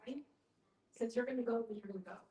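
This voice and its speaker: A woman's voice: a little high, quick pace, warm tone. A woman speaking in a direct way in a casual group discussion. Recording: echoey room, distant from mic.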